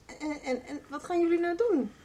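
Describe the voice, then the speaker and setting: A woman's voice: extremely high pitch, normal speed, dark tone, slight background noise. An actress in a Dutch radio soap playing a worried young daughter who presses her parents insistently.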